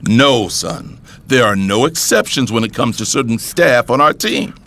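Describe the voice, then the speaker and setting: A man's voice: mid-range voice, moderate pace, pushed and bright, a little hiss or hum, close to an everyday voice. A man playing a blunt, commanding middle-aged father and boss, stern and emphatic in an audio drama. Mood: stern, exasperated.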